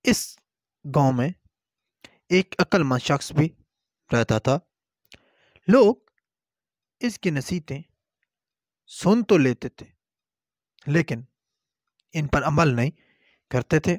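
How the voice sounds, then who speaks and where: Man, storyteller, moral tale narration, unhurried pace, short broken phrases, dry close sound. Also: on the high side, expressive, no echo. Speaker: storyteller and channel host.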